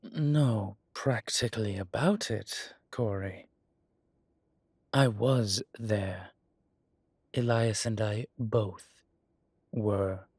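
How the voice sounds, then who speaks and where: A man playing an ancient, proud vampire elder in an audio drama, deliberate and quietly menacing, with a husky voice. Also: lowish voice, dry recording, studio quality, full character voice. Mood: measured. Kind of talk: audio roleplay drama acting.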